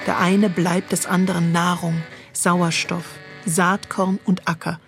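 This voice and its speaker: A woman reading a literary text as scripted narration, in a measured, poetic manner. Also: fairly low pitch, flat delivery, faint music behind.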